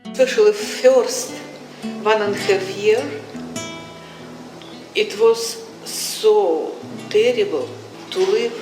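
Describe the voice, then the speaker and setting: An interviewee speaking slow, frank non-native English. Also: medium pitch. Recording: echoey room, music under.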